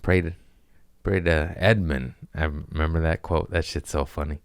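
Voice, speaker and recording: Deep-voiced man in loose profane banter. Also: fairly even intonation, normal speed, gravelly, clear, resonant tone, dry recording. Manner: loose, profane, jokey rambling. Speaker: podcast host, comedian. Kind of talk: casual chat.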